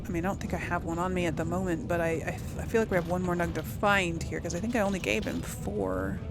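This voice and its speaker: A woman's voice: fairly low pitch, lively intonation, fast, background noise. A woman giving casual commentary on a role-playing game, thinking aloud in a conversational way as its host. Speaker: gaming channel host.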